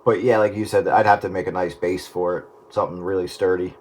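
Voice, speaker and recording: A man's voice: low-pitched, flat delivery, quick pace. A man chatting casually over two-way radio as a hobbyist. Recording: two-way radio with faint noise.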